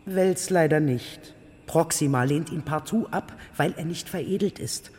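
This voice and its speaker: An older woman playing a spirited, affectionate grandmother in a radio play, talking in a lively way. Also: low-pitched, a little slow, breathy edge. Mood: spirited, confiding. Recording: reverberant hall, music behind.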